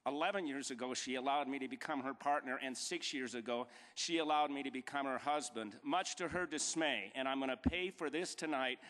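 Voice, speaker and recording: A man's voice: medium pitch, fairly quick, hardly any pauses, fairly bright tone. Emphatic man in a reverberant hall. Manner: rhetorical, emphatic lists and repeated dates. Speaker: award honoree giving acceptance speech. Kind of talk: formal acceptance speech. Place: large banquet hall stage. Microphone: podium microphone. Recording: long reverb of a large hall.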